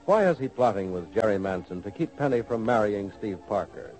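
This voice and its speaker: Mellow male radio soap announcer. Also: fairly low pitch, lively intonation, a little fast, rough recording. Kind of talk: dramatic 1940s radio soap narration. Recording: old radio recording, organ behind.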